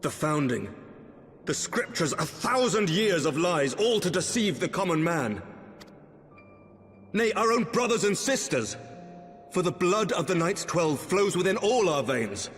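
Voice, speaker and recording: An actor playing an earnest, noble knight commander in a fantasy game, with formal, impassioned, archaic delivery. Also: male voice, high voice, very animated, measured pace, a bit bright, a little hiss or hum, full character voice. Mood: accusing, indignant. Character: earnest noble knight commander, wounded son confronting his father. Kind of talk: theatrical fantasy game voice acting. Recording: long reverb, music underneath.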